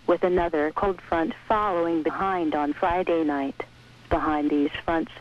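A synthetic American female voice reading a scripted weather bulletin in a measured, impersonal way. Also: lowish voice. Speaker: weather radio announcer. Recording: dull lo-fi weather radio broadcast.

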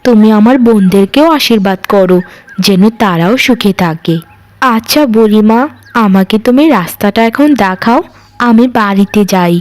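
A woman voicing a humble, gentle young village girl in a cartoon folk tale, earnest in her speech. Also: medium pitch, moderate pace, lightly acted.

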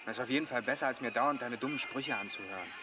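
A man's voice: medium pitch, fast talker, recorded from a distance, music in the background, close to an everyday voice. An actor playing a touchy, cautious teenage boy in a German audio drama, irritated and defensive.

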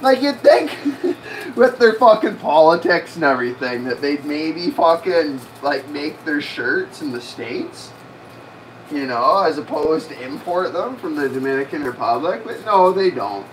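A man's voice: a little high, very animated, measured pace, far from the mic, slight background noise, rough recording. A young man in his twenties, swearing and rambling casually while cooking in a small home kitchen. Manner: rambling, heavy swearing and fillers. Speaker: cooking stream host.

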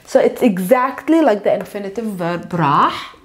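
A woman in her thirties, a native Arabic speaker, teaching a lively whiteboard lesson. She repeats words slowly and stresses them. She speaks into a lapel mic in a bright indoor room. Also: medium pitch.

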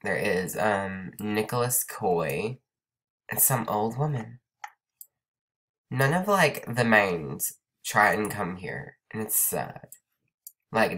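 Stuffy-nosed young woman chatting slowly. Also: a bass voice, a bit bright. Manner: chatty, rambling, says "like" and "um" often. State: stuffed up from a cold. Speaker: let's play host. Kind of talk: casual gameplay commentary.

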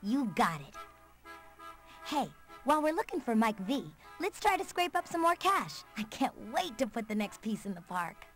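A woman's voice: a little high, very animated, normal speed, fairly bright tone, faint music behind. A young woman with an American accent playing an upbeat skater girl and comic artist in a video game cutscene, perky and slangy.

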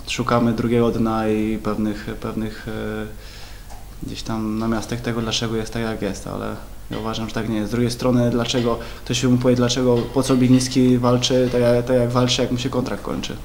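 A young man, a football player, answering questions at a press conference, halting with many repeated words and fillers. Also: mid-range voice, monotone, gravelly, darkish tone, slight background noise. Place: club press room, indoors. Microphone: table mics in front.

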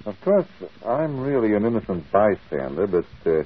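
An actor playing a blunt, questioning sidekick detective in a radio drama. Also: male voice, fairly low pitch, sing-song, normal speed, background noise. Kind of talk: old radio drama acting. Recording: muffled vintage radio broadcast.

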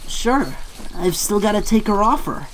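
A woman's voice: medium pitch, expressive, a little slow, roomy sound, long reverb tail, heavy background noise, natural voice. A woman playing a timid young mouse-like creature in a comic dub, hesitant and stammering. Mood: calm, shy. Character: timid, frightened young Pikachu, small electric mouse creature.

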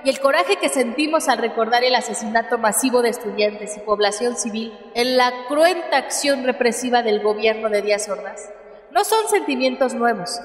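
Radio drama orator: impassioned, formal Mexican women's leader, acted by a woman, slightly high, reverberant hall. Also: natural voice. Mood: indignant. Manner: formal, declamatory. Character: solemn, impassioned female activist leader giving a speech.

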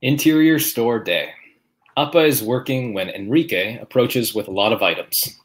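A man's voice: medium pitch, normal speed. A man reading out stage directions at a live table read over a video call.

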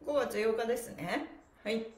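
A woman's voice: on the high side, normal speed, a little mellow. A middle-aged woman hosting a livestreamed stretching lesson, with a cheerful, chatty manner and sing-song counting. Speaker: stretching class host, mime instructor. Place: small bare indoor room. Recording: echoey room with music.

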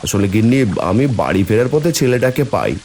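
A man's voice: mid-range voice, big pitch swings, quick pace, darkish tone, slight background noise. A man playing a poor, kindly village husband in a cartoon, earnest and plaintive. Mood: dejected. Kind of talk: cartoon fairy-tale voice acting.

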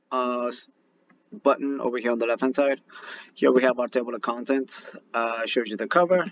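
A man teaching an informal online read-aloud lesson in a halting, thinking-aloud manner. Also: mid-range voice, no room sound, muffled recording. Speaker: teacher reading aloud to pupils.

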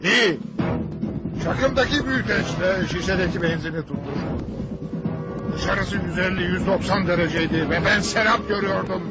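A man's voice: high-pitched, normal speed, poor audio. A man voicing a blustery, boastful father in a Turkish cartoon dub, with a theatrical delivery. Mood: self-important storytelling. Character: blustery father spinning tall war stories. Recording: loud music under the voice.

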